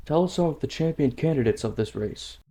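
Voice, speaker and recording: A man's voice: fairly high pitch, lively intonation, fairly quick, mellow. A man playing a challenging press reporter in an amateur manga dub, asking pointed, formal questions.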